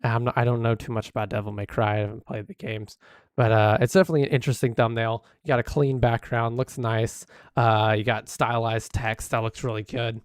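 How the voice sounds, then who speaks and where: A hoarse man live-streaming, casually critiquing videos with many fillers and restarts. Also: mid-range voice, flat delivery, a little fast, dry recording, studio quality.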